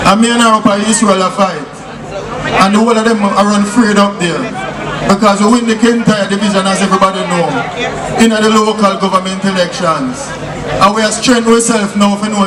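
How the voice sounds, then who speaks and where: A man with a Jamaican accent giving a loud, exhorting political rally speech in Patois-inflected speech. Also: sits high. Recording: echoey PA from far off, crowd.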